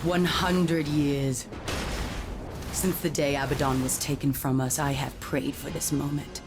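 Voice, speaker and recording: Low-voiced, righteous angel commander. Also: female voice, little pitch movement, a little slow, over music. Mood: solemn vengeful. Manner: formal, solemn, commanding. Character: stern, righteous female angel warrior commander. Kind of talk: video game cutscene acting.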